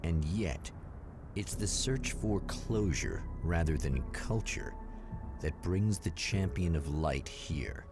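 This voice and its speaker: An actor playing the portentous narrator of an eerie anthology TV show, solemn and dramatic. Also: male voice, low voice, lively intonation, takes it slowly, over music, full character voice. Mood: solemn, ominous.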